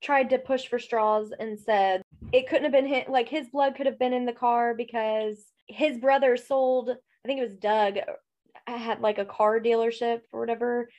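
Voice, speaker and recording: A woman's voice: on the high side, darkish tone, dull audio. A woman co-hosting a true-crime podcast, chatting casually with a stuffy nose, rambling with lots of fillers and laughs. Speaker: podcast co-host.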